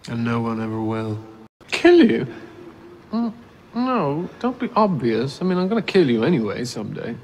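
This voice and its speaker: An actor playing a playful, menacing male criminal mastermind in a TV drama, sing-song and theatrical, swinging from hushed tones to shouts. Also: medium pitch, sing-song, moderate pace, a little hiss or hum, lightly acted. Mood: taunting. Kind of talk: film-style TV drama acting.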